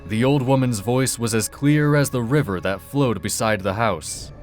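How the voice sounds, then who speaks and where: A man reading a dark fairy tale as a dramatic, scripted storyteller. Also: mid-range voice, normal speed. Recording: music behind the voice.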